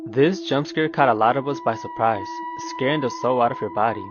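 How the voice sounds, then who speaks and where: A man's voice: mid-range voice, brisk. Male recap narrator, dull lo-fi audio. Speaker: video narrator. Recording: dull lo-fi clip, background music.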